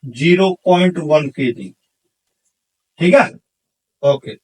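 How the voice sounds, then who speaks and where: A man's voice: fairly high pitch, lively intonation, slow, distant mic, rough recording. A man teaching exam problems step by step, asking questions and answering them himself in an instructional manner. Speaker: physics teacher for exam candidates.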